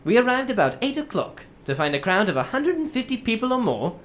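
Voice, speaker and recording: A woman's voice: fairly high pitch, big pitch swings, fairly quick. A woman playing a reserved, businesslike male detective in a radio drama, formal and politely insistent. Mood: measured narrating.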